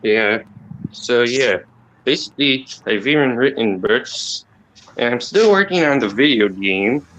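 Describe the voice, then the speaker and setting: A man with an accent, talking quietly and haltingly in a casual call discussion as the host, rambling and restarting his sentences. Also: medium pitch, expressive, slight background noise, low-quality recording. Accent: non-native or regional accent. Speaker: channel host.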